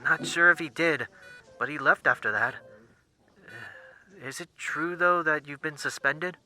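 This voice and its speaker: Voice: mid-range voice, unhurried, bright, forceful tone, faint music behind. A performer playing a nervous, polite lab technician on a phone call in an audio drama, hesitant and apologetic. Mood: sympathetic. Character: nervous, eager, deferential young lab technician.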